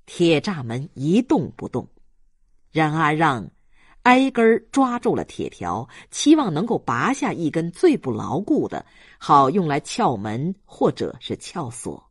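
A man reading a novel aloud as a dramatic, deliberate audiobook narrator. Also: fairly high pitch, wildly swooping pitch, slightly rough, no room sound, studio quality.

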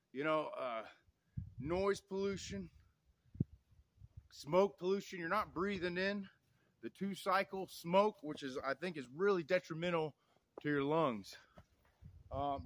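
A man's voice: fairly high pitch, fairly bright tone. A man, talking to camera in snowy woods in a folksy, preachy way and saying "y'all" a lot. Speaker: off-grid vlogger. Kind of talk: outdoor vlog talk to camera. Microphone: camera on tripod, a few meters away.

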